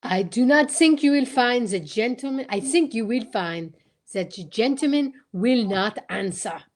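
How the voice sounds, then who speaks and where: A self-assured Belgian gentleman detective from an old-time radio mystery, acted by a woman. The voice sits on the high side, with a courteous, unhurried theatrical delivery sprinkled with French phrases. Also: lively intonation. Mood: confident, deliberate.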